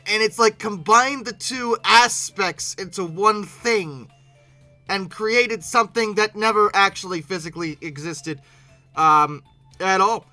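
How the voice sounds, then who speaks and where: An exasperated commentator giving an informal, unscripted reaction, animated and often stumbling over repeated words in disbelief. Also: mid-range voice, faint music behind. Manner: exasperated, incredulous, animated with abrupt outbursts; halting, repeating words when flustered. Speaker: video creator hosting a reaction commentary.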